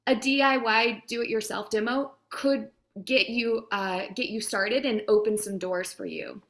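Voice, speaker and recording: A woman's voice: a little high, fairly even intonation, measured pace, a bit bright. Woman casually explaining, giving advice. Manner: explains with everyday analogies. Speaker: guest voice demo producer. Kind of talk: conversational advice in a Q&A.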